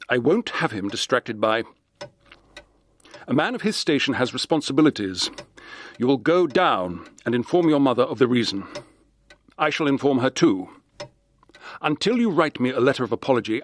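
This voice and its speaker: An actor playing a stern, pompous college dean in a radio drama, clipped, authoritative and coldly formal. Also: male voice, mid-range voice, slight background noise, natural voice. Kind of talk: period radio drama acting.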